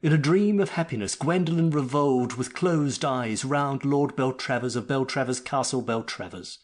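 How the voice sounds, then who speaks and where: A man's voice: medium pitch, moderate pace. A man narrating a story expressively, with a theatrical, mock-melodramatic delivery.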